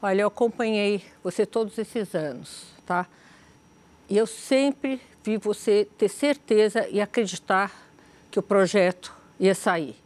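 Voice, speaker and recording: Woman interviewer, mid pitch, friendly probing questions, casual. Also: unhurried, darkish tone.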